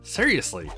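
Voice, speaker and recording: A man's voice: fairly high pitch, pushed and bright. A man hosting a let's play, reading visual novel dialogue aloud, acting out lines and adding casual commentary. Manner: acts out characters' lines with exclamations.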